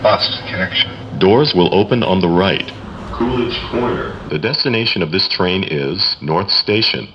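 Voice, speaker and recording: Deep-voiced, slow male transit announcer, echoey. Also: fairly bright tone. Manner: clipped, formal delivery of short set phrases. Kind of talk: automated station announcements, scripted. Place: inside a subway train, over speakers. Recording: echoey, noisy subway car recording.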